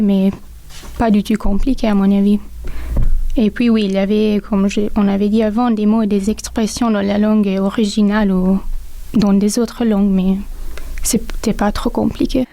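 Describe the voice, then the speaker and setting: A woman's voice: mid-range voice, flat delivery, normal speed, warm tone. A young woman student whose first language is Italian, speaking steadily and matter-of-factly in a casual discussion. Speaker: student panelist.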